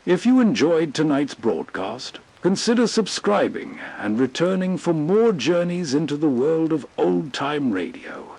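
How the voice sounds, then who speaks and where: A man hosting an old-time radio channel, giving slow, soothing scripted narration. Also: fairly high pitch, very animated, gravelly, a little mellow, poor audio. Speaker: host.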